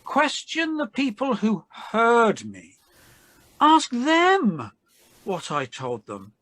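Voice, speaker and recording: A woman reading the part of a calm, solemn Jesus in a dramatized Bible reading, slow and deliberate. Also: on the high side, no room sound, exaggerated voice. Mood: earnest. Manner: slow, deliberate, measured delivery. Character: Jesus, calm, solemn man under arrest and questioning.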